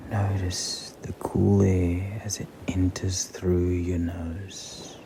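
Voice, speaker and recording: A man guiding a meditation in a soothing, breathy near-whisper with long pauses. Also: low voice, flat delivery. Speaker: meditation guide. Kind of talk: slow guided meditation. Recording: clearly audible background ambient noise.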